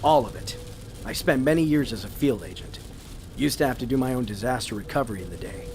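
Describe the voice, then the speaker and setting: An actor playing a seasoned former field agent, wary, serious and dryly exasperated, in an audio drama. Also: male voice, medium pitch, fast, a little mellow, slight background noise, close to an everyday voice.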